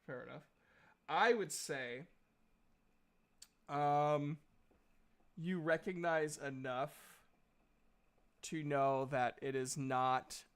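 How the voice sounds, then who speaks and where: A man's voice: medium pitch, a bit bright, clear, resonant tone. A man casually narrating and bantering as he runs a tabletop roleplaying game.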